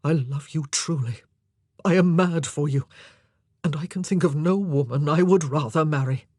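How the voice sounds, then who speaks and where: A narrator voicing an anguished, impassioned and forceful male monarch in an audiobook drama. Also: mid-range voice, normal speed, no room sound, clean studio sound, lightly acted. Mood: pleading, ardent.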